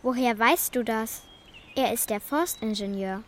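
A woman's voice: a little high, a little slow, slight background noise, close to an everyday voice. A female actor playing an anxious but determined young girl in a children's radio play, direct and childlike. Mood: earnest.

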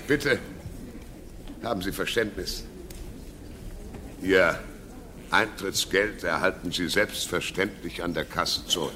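A man's voice: sits low, takes it slowly, pauses often, background noise. An actor playing a pompous theatre man who declaims grandly from an echoing stage, in a radio drama. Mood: pompous, apologetic.

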